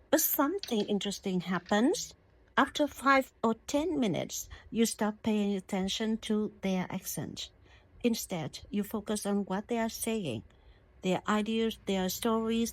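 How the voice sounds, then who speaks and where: A woman giving a prepared English lesson, speaking in a slow, deliberate and measured way. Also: on the high side, dry recording, poor audio. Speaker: English teacher.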